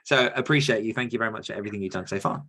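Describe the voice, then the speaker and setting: A man's voice: fairly low pitch, very fast, fairly bright tone. A man hosting a podcast in a casual, rambling and enthusiastic chatty style. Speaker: podcast host.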